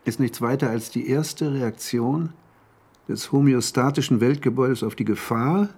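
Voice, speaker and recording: An actor playing a troubled, intense male scientist who narrates his own ordeal in measured radio drama style. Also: mid-range voice, darkish tone, breathy edge. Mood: brooding, defiant. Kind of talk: German radio drama, literary first-person narration.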